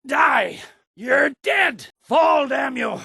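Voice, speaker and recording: Imperial general, middle-aged: proud, impatient, forceful and authoritative, dry close sound, for a fantasy game. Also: male voice, very high voice, lively intonation, gravelly, dry recording, full character voice. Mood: combative. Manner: commanding, clipped military authority, deliberate emphatic delivery. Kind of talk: scripted video game voice acting.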